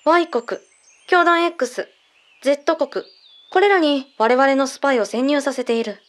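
Audio drama role: composed intelligence briefer played by a woman, high voice, blunt and matter-of-fact. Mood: composed, serious. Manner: measured, matter-of-fact, blunt masculine phrasing. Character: calm intelligence colleague briefing secret findings.

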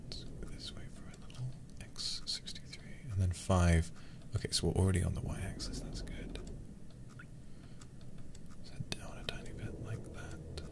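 A man livestreaming a horror game in ASMR style, muttering to himself in a hushed, breathy voice with casual swearing. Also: low-pitched, moderate pace. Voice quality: breathy, often whispered.

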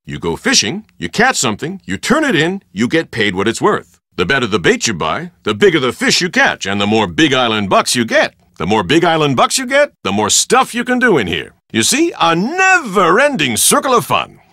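An actor playing a brash, easygoing middle-aged Hawaiian surf shop dad in a video game, talking in colloquial, clipped phrases. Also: male voice, fairly high pitch, huge pitch swings, moderate pace, few pauses, sharp tone, no echo, studio quality, full character voice. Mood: easygoing, upbeat. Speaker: game character: beach shop owner.

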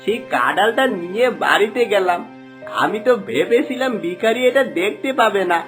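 A man's voice: high voice, animated, normal speed, fairly bright tone, faint music behind. A man playing a remorseful, greedy villager in a cartoon moral tale, pleading in a plaintive way. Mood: rueful.

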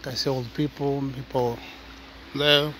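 A man's voice: mid-range voice, level tone, measured pace, noisy background. Chatty man vlogging on a walk outdoors. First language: not English. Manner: chatty, often addresses viewers as guys, drops to soft asides. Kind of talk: casual walking vlog. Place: outdoors on a suburban footpath.

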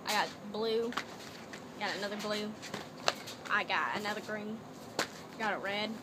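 A woman's voice: medium pitch, fairly even intonation, unhurried. A young woman from Canada, chatting and rambling through a haul video in a playful put-on accent, recorded on a laptop webcam in her bedroom. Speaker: vlogger. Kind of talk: casual haul video chat. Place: small cluttered bedroom, indoors. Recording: noisy laptop webcam audio.

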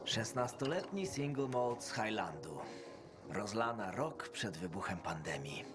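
A vain, worldly schemer from a post-apocalyptic video game. He teases and flirts in a smooth, theatrical way, his intonation swinging widely, over prominent music. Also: on the low side, big pitch swings, unhurried. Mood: smug, amused. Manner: smooth-talking, teasing, theatrical. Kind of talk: video game cutscene acting.

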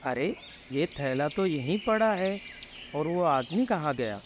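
A man playing a humble, kind village farmer in an animated moral story, speaking in a gentle, reassuring way. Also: a little high, measured pace, very noisy. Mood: explaining. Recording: background music under the voice.

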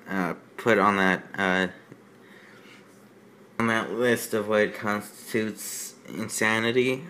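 A man with a medium-pitched voice hosts a mocking read-aloud of fan fiction. He speaks slowly and haltingly, repeating his words as he stammers through exasperated, incredulous commentary. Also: a bit bright. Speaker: host of a fan fiction reading show.

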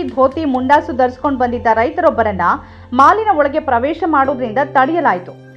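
A woman reading a scripted news voice-over in an earnest tone, often asking rhetorical questions. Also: high-pitched. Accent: Kannada. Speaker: news narrator. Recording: slightly dull lo-fi sound with faint music.

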